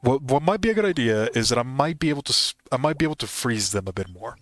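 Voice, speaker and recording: A man's voice: mid-range voice, expressive, fast talker, a bit bright. A man giving casual gameplay commentary as a gaming host, thinking aloud and correcting himself as he goes.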